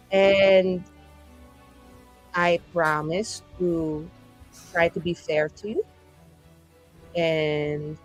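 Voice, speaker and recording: A woman's voice: lowish voice, poor audio. A woman voicing a brash, headstrong warrior lover in a tabletop game, bawdy and playful but earnest.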